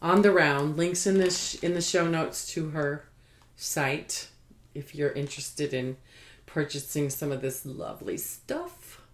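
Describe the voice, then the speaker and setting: Woman podcaster w a low voice, really slow casual chat, halting, kinda talks to herself. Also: fairly even intonation. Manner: halting, trailing off, talking to herself. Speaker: podcast host showing knitting and spinning.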